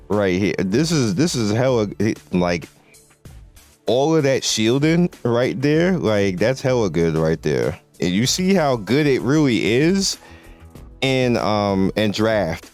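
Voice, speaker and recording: Low-ish guy, kinda hoarse, chill slangy rambling about a game. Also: sing-song, slow, darkish tone, soft background music. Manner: laid-back slangy rambling with restarts. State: losing his voice. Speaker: mobile game content creator. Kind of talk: casual game commentary.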